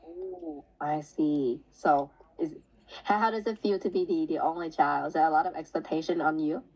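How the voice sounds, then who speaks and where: A woman's voice: deep voice, normal speed, darkish tone, dull audio. A woman interviewing someone in a casual, hesitant way, with many restarts and fillers. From Vietnam. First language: Vietnamese.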